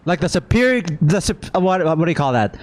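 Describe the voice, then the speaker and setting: A man's voice: fairly high pitch, big pitch swings, fast talker. A man streaming a game, reacting in casual, slangy commentary with playful heckling.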